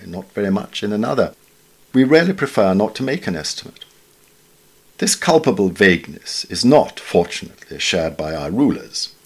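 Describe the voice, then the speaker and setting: Guy reading old essays, deepish raspy voice, formal and measured. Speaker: reader of newspaper essays. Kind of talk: scripted literary reading.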